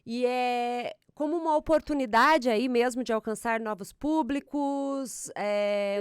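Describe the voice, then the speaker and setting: Brazilian woman host, higher smooth voice, dry close sound, long hedged questions. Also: dry recording. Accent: Brazilian Portuguese. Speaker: podcast host. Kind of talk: interview questions.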